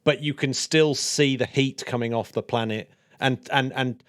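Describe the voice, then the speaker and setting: A man's voice: medium pitch, steady tone, normal speed, a bit bright, clean tone, dry recording, clean studio sound. A man hosting a casual science podcast, curious and thinking aloud, often repeating words. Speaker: podcast host.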